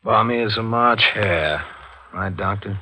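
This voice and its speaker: An actor playing a brisk, businesslike police investigator in a 1940s radio drama. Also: male voice, lowish voice, pushed and bright, slightly rough, exaggerated voice. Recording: dull 1940s radio recording.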